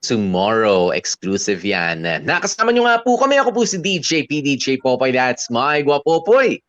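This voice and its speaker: A man's voice: medium pitch, very animated, moderate pace, fairly bright tone, dry recording. Filipino male radio DJ, dry dull sound. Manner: conversational, punctuated with tag questions. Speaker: radio DJ co-host. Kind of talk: casual radio talk with Taglish advice to listeners.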